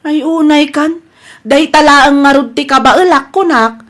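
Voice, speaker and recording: High-voiced brazen Ilocano woman debtor played by an actress. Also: unhurried, fairly bright tone. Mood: brazen, defiant. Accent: Ilocano Filipino. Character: brazen, defiant woman debtor named Maricel. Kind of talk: Ilocano comedy radio drama acting.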